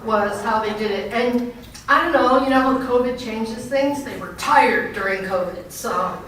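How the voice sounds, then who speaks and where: A woman's voice: a little high, a little slow, a bit bright. A woman pastor with a big, projecting voice, speaking to a gathering. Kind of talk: informal remarks and spoken prayer to a gathering. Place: large echoing indoor banquet venue. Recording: very echoey hall, distant mic, faint noise.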